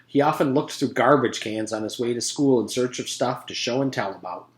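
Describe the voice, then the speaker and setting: A man's voice: medium pitch, a little fast. Man reading a storybook, echoey room. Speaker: teacher reading aloud to pupils. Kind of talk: children's storybook narration.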